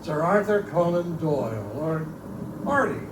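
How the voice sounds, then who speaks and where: A man's voice: on the high side, big pitch swings, unhurried. A man playing a folksy, wisecracking keeper of a remote outpost library, chatty and theatrical, in pulp storytelling style at a live show. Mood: amused, chatty. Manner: colloquial, chatty, theatrical, irreverent. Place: live in a bookstore. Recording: roomy distant live recording, loud background noise.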